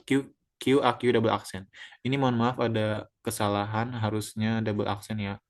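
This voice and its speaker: A young man from Indonesia walks his class through a geometry proof. His voice sits a little low, and he talks haltingly, stopping on fillers and correcting himself as he goes. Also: level tone. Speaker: student presenter.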